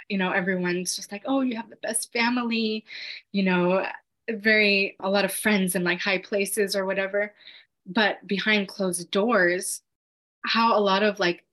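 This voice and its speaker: Woman telling her story, kinda monotone, lots of "like" and restarts, earnest. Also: mid-range voice. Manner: earnest, halting, many fillers and restarts. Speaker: guest. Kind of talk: personal testimony in conversation.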